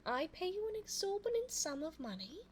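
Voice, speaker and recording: A woman playing an elegant, cunning vampire in an ASMR audio roleplay, speaking formally and coaxingly in a soft voice close to the microphone. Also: very high voice, big pitch swings, slight background noise. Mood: pleased, scheming. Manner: formal, genteel, slow, coaxing. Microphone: close binaural microphone.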